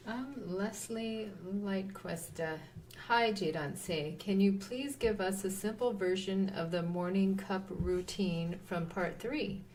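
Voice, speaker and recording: A woman's voice: mid-range voice, takes it slowly, darkish tone. A woman reading audience questions aloud as an assistant, recorded from a distance. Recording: distant mic with faint background noise.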